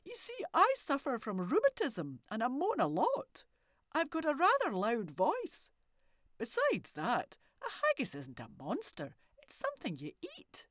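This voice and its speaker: A woman voicing a loud, gruff old man who lives alone in the woods, for a storybook. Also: wildly swooping pitch, unhurried, dry recording, studio quality, full character voice. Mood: jovial. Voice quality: booming, loud.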